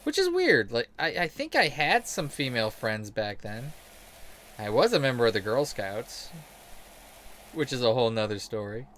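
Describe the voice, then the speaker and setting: A man with a mid-pitched voice chats casually as he plays a game, wandering between stories and commentary. He swears freely and has a sarcastic, self-deprecating way of talking. There is clear noise behind his voice. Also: sing-song, moderate pace, fairly bright tone. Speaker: game streamer telling stories while playing.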